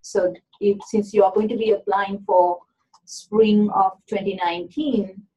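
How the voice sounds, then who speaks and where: A woman's voice: mid-range voice, fairly even intonation, measured pace, dark tone, a little hiss or hum. A woman walking through admission requirements in an informational webinar, explaining step by step with frequent "um" fillers. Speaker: admissions presenter. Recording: dull lo-fi webinar audio.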